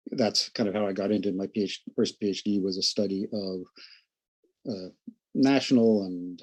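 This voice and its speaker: A man's voice: on the low side, a little mellow, husky, rough recording. A man speaking on an academic panel about his training as an anthropologist, casual and rambling.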